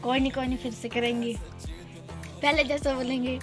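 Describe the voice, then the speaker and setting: A woman's voice: high voice, flat delivery, moderate pace. A young woman making a teasing, playful prank phone call. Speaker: prank caller. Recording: background music over speech.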